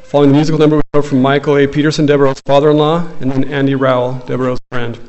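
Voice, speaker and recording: A man's voice: medium pitch, flat delivery, very fast, a little mellow, dull audio. Man plainly announcing a funeral program. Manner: plain, orderly. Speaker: conductor of the funeral service. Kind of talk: formal announcements. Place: funeral service in a chapel.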